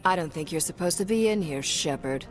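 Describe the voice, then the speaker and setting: A woman's voice: on the low side, expressive, brisk, close to an everyday voice. A woman voicing a menacing, cocky mercenary guard in a video game, taunting and confident. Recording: music behind the voice.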